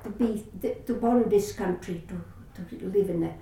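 This is an older woman with a Latvian accent, Latvian being her first language, telling her life story in an oral history interview. She speaks haltingly, often stopping to search for words and restarting. Her voice is mellow and dark, recorded from a distance in a very roomy space with a faint hiss underneath. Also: mid-range voice.

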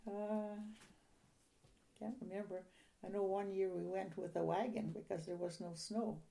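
An older woman from a Ukrainian-speaking home, reminiscing in an oral history interview in a soft, halting, rambling way. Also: mid-range voice, fairly even intonation, gravelly.

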